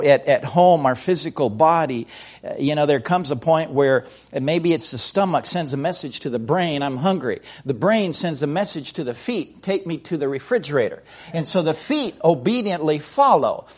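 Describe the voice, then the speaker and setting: A man's voice: fairly high pitch, lively intonation, normal speed. A middle-aged man from the United States preaching a sermon in a folksy way, often repeating words and restarting sentences.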